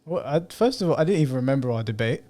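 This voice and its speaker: A young man talking in a relaxed, joking way, needling the friend beside him between laughs. His voice sits at an ordinary middle pitch for a man, and his delivery stays unhurried and conversational. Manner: laid-back, teasing, laughs often. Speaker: co-host of a comedy channel. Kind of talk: casual bickering chat to camera.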